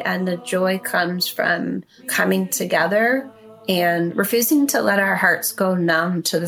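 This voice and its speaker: A woman with a lowish, gravelly voice talks in an earnest, measured way. She speaks as an activist and healer, and soft music plays behind her. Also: poor audio. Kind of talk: interview reflection.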